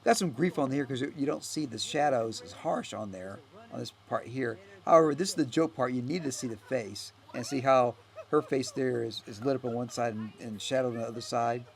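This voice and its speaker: A man giving a casual, unscripted director's commentary as a filmmaker, rambling and halting as he goes, often trailing off. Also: mid-range voice. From United States.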